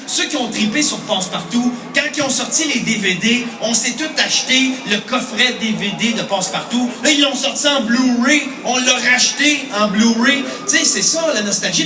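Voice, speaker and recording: A man in his forties with a Québécois French accent doing stand-up comedy, animated and shouting his punchlines. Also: high voice. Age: 40s. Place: large comedy festival hall. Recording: distant mic in echoey hall, crowd noise.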